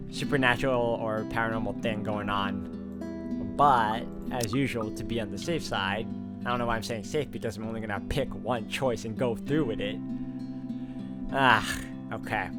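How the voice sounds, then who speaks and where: Game streamer, mid-pitched man, reads a story and talks through choices over loud music. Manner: thinks aloud with hesitations and asides. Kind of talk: narrating and commenting on a visual novel.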